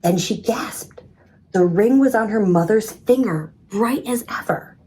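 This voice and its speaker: A woman reading a children's story in an expressive, measured storytelling manner. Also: mid-range voice. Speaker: storybook reader. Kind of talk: scripted children's story narration.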